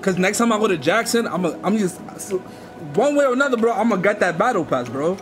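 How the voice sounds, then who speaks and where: A man's voice: high-pitched, animated, fast talker, over music. A young man on a headset making prank phone calls from home, pushy and demanding, with lots of street slang. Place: home streaming room, indoors.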